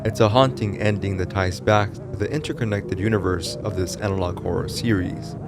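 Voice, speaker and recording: A man with a fairly low voice narrates a scripted explainer of a horror tale. His delivery is dramatic and ominous, like a storyteller setting out a dark account. Music plays clearly underneath his voice. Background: music behind the voice.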